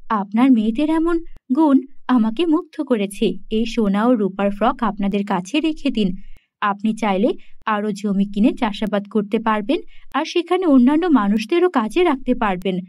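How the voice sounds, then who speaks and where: A woman's voice: on the high side, expressive, moderate pace, a little mellow, dry recording, pitched below the performer's own voice, lightly acted. A woman voicing a gracious, approving female fairy in a cartoon fairy tale. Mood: gracious, impressed. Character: gracious, approving female fairy who rewards good people.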